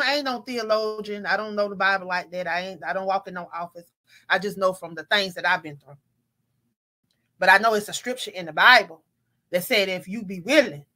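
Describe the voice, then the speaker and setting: Woman host, middle-aged, bright and a little high, preachy video-call talk. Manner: preachy, restarts often, rising to exclaim. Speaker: podcast host. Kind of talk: casual faith-based panel talk. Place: home room on video call.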